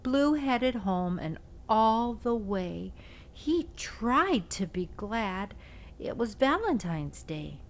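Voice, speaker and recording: Slow, very animated woman reading to children. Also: fairly high pitch, slight background noise. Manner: expressive, simple storytelling delivery for small children. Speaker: host of a children's read-aloud channel. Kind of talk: picture-book read-aloud for young children.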